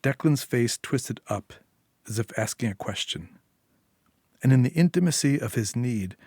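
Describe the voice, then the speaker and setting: A man's voice: mid-range voice, animated, normal speed, darkish tone, breathy edge, no room sound, clean studio sound. A man reading a dramatic short story as a storyteller, in scripted narration.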